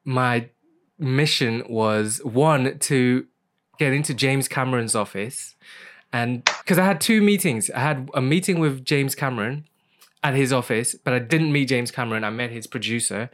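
A man's voice: mid-range voice, moderate pace. Eager male interview host. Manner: enthusiastic, agreeable, eager interjections. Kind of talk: casual interview chat.

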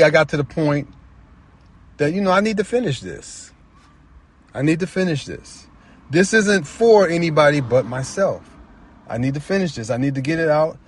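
A middle-aged man talking informally and personally as a storyteller, reflective and halting, repeating phrases for emphasis. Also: fairly high pitch, breathy edge. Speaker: poet and novelist, featured storyteller.

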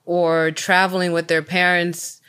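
A man's voice: sits high, monotone, measured pace, pushed and bright, clean tone. A man in casual conversation, asking short, curious follow-up questions.

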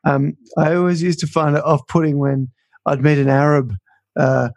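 A man's voice: on the high side, fairly even intonation, moderate pace, creaky, darkish tone, slight background noise. A man co-hosting a casual podcast, making dry jokes. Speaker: podcast co-host.

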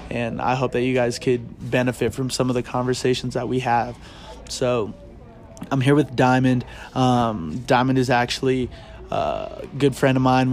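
An earnest young man hosts a casual interview podcast, his voice sitting at an ordinary middle pitch. He talks haltingly, with many ums and restarts. A faint hum of ambience sits behind him in the bookstore. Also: darkish tone. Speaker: podcast host. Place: bookstore children's section.

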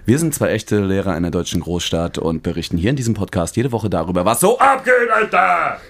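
A man's voice: fairly low pitch, brisk, gravelly. A man in his mid-thirties, a teacher co-hosting a podcast, chatting casually with slang and jokes. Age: 30s. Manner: slangy, excitable, joking. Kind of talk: casual chat.

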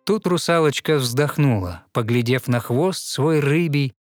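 A man's voice: mid-range voice, measured pace, no echo, clean studio sound. A man with a mid-range voice narrates a fairy tale in verse. His delivery is scripted, rhythmic storytelling with expression. Faint music plays behind him.

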